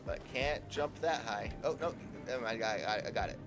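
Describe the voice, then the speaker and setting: A male streamer talks casually through a game in a mid-range voice. He is halting, often repeating words, with clear music playing behind him. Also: medium pitch, expressive. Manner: halting, repeats words while thinking aloud. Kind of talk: casual live gameplay commentary.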